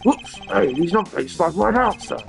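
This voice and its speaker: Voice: medium pitch, animated, normal speed, vocal fry, over music, voice pushed up. A performer voicing an enthusiastic, bumbling male police detective in a video game, blunt, folksy and eager. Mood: surprised.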